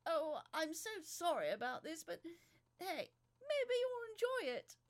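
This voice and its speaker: A woman's voice: very high-pitched, sing-song, unhurried, voice pushed up, heavily acted. A woman voicing a cheeky, untrustworthy man in an audio drama, over-apologetic and mock-innocent. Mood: breezy apology. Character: cheeky, falsely polite untrustworthy man in a story. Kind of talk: quoted character in audio drama.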